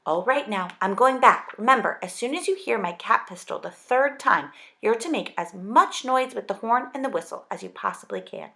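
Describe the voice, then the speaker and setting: An excited young boy hero from a storybook, quick and urgent, as a woman reads him aloud. His voice sits higher than her own and swings widely, with a bright, sharp edge and a little gravel underneath. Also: full character voice. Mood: eager.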